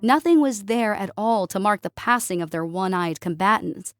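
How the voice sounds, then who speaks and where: A woman's voice: medium pitch, expressive, moderate pace, no echo, studio quality. A woman reading scripted narration as an audiobook narrator. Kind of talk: scripted narration of a sci-fi war story. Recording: music under the voice. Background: background music.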